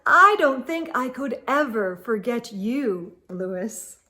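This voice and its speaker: A woman's voice: a little high, animated, slow, bright, forceful tone, heavily acted. A woman voicing a kindly, composed schoolteacher who addresses her class patiently in a children's storybook. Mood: fondly amused. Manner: patient, encouraging, orderly.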